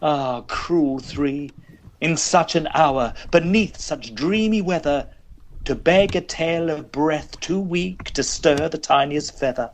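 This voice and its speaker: A man reciting verse in a theatrical, measured way, as an expressive poetry reader. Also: fairly high pitch, a little hiss or hum.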